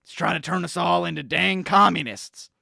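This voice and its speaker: A man acting out a brash conspiracy-obsessed correspondent on a comedy radio show, shouting his theories in a loud, pushy rant. The voice sits a little high, with a gravelly, sharp tone and a dry, close sound. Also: fairly even intonation, moderate pace, dry recording, exaggerated voice. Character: brash male conspiracy-ranting news correspondent and supplement salesman. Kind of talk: improvised radio comedy bit.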